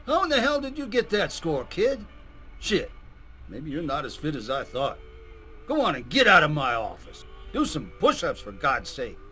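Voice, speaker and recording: A man playing a gruff, patriotic, hot-tempered coach who speaks like a recruiter in a video game. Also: a little high, wildly swooping pitch, normal speed, pushed and bright, faint music behind, full character voice. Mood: angry, incredulous. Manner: rousing, commanding, profane.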